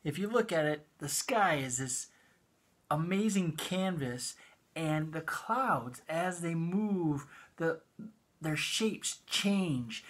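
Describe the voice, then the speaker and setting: A man's voice: on the high side, animated, takes it slowly, fairly bright tone. A middle-aged American man hosting a storytime for children, talking to them as an educator. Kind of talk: friendly storytime talk to children. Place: small indoor room with bookshelves.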